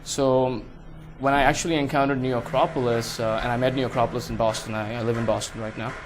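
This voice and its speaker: A man's voice: medium pitch, flat delivery, fast talker, a little hiss or hum. A young man from India, a guest in a casual interview, speaking earnestly with many fillers. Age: young adult.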